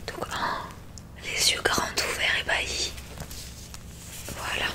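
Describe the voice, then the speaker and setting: A woman whispering gently and breathily close to the mic, giving soothing, reassuring instructions in an ASMR medical exam roleplay. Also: takes it slowly. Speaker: ASMR creator playing an examiner in a roleplay. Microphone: close ASMR microphone.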